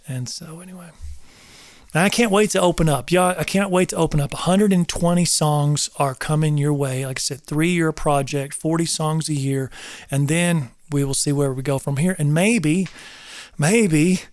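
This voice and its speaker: A middle-aged man with a somewhat high voice talks to his livestream viewers in a loose, rambling way, circling back over his words. He sounds earnest and a little preacherly. The sound is dry and close to the mic. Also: normal speed, no echo. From North Georgia, US South. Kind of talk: casual livestream talk to viewers.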